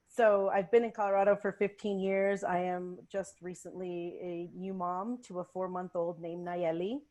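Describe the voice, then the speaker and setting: Woman, from south texas, earnest, slightly nervous, lowish voice. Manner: warm-hearted, earnest and a little nervous. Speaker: community relations manager, panelist. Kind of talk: casual self-introduction on a panel.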